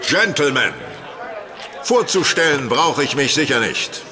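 An actor playing a self-assured, courteous gentleman detective in a German radio play, polite and dryly amused. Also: mid-range voice, big pitch swings, a little slow, a crowd in the background. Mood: formal, confident.

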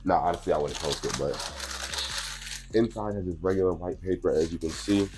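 A man's voice: low voice, brisk, noisy background. A young man in his twenties, a little congested from allergies, chatting casually through a sneaker unboxing in a small room on a clip-on mic. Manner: laid-back, chatty, says "you know what I'm saying" a lot. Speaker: sneaker vlogger. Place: small indoor room with purple lighting.

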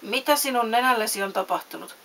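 A woman's voice: on the high side, fairly even intonation, a bit bright, lightly acted. A woman voicing an old man in a storybook, a kindly, worried father who speaks tenderly and protectively. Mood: concerned. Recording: faint background hiss.

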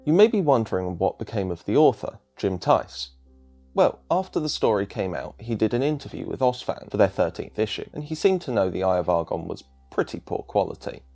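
A man's voice: fairly low pitch, big pitch swings, brisk, dark tone. Man, video essayist, scripted narration, faint instrumental music.

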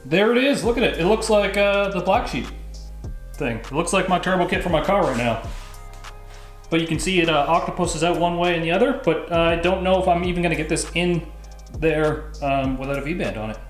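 Casting: Canadian male fabricator-host, thirties, higher register, slangy and joking, casual shop talk with music underneath. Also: brisk. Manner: jokey, slangy. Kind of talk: casual workshop vlog. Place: garage workshop, indoors.

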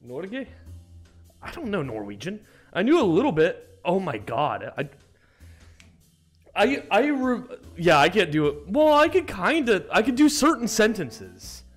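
A young man talks on a live stream from a small room into a desk mic, his voice swinging widely in pitch. He swears freely and flips from mutters to sudden loud outbursts. Faint music sits behind him. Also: measured pace. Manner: profane, excitable, jumps to loud outbursts. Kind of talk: casual live-stream chat.

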